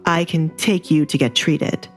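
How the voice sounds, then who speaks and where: A woman voicing a sardonic, menacing young duke in an audio drama, speaking formally and with measured, veiled threat. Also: sits low, fairly even intonation, faint music behind. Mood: cool, mocking.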